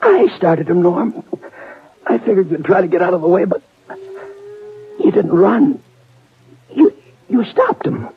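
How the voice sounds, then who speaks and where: A dying, gravelly young cowboy. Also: male voice, sits high, very animated, exaggerated voice. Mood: dying, remorseful. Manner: halting, broken speech. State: gasping, weak. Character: dying young cowboy, remorseful outlaw brother. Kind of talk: 1940s radio acting. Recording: tinny old radio broadcast with music.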